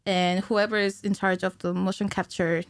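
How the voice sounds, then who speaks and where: A woman in her thirties speaking as a podcast guest, explaining technical work conversationally and a little haltingly, thinking aloud into a studio microphone. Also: medium pitch, little pitch movement, clean tone, no echo. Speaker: podcast guest, cinematic animator. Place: small indoor podcast studio. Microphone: studio microphone on a stand.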